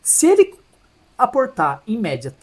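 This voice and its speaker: A man's voice: very high voice, wildly swooping pitch, measured pace. Chatty São finance host, man. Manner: chatty, with frequent "tá?" check-ins and self-restarts. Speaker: personal finance channel host. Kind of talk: casual explainer over a shared screen.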